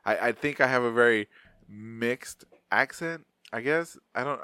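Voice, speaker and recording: A man's voice: mid-range voice, measured pace, sharp tone, clear, resonant tone, no echo. A man in his thirties from Arizona, hosting a podcast in loose, rambling casual chat, swearing freely and laughing often. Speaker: podcast host.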